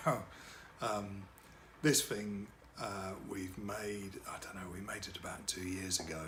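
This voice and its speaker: Middle-aged guy, wedding dj, first language french, lowish voice, lots of ums and restarts, bit of hiss behind. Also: steady tone, measured pace, a bit bright. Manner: hesitant, many fillers and repeated words. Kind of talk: casual talk to camera about his work.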